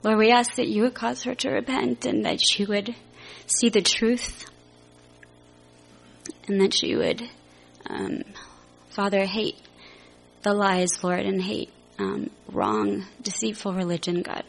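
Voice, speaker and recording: A woman hosting a church program who thanks the guest and leads a public prayer with a reverent, steady cadence. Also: mid-range voice, slow, creaky, pauses often, soft background music, poor audio. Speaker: program host.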